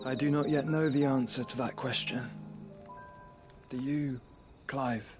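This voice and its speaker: An actor playing a gentle, solemn young nobleman, weary and wounded, speaking formally in a soft, breathy voice near a whisper. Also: mid-range voice, steady tone, over music, close to an everyday voice. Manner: measured, formal, reflective speech. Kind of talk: game cutscene acting.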